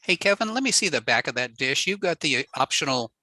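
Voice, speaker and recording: Bright-voiced man on a ham radio call. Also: fairly high pitch, a little fast, no echo. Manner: relaxed, answers questions, fillers. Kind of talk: casual technical talk on a video call.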